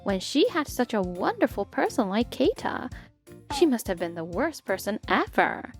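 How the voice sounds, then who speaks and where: High-voiced put-upon stepmother, played by a woman. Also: big pitch swings, moderate pace. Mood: narrating, troubled. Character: put-upon new stepmother, polite, bewildered businesswoman. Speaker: narrator and lead character. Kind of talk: dramatized story narration, manga dub. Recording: music bed behind the voice.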